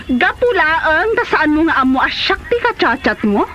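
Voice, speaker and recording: A woman's voice: sits high, very animated, moderate pace, bright, forceful tone, full character voice. A woman with a Filipino accent playing a scornful, fed-up wife in a comedy radio drama. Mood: angry, accusing. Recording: dull, noisy audio.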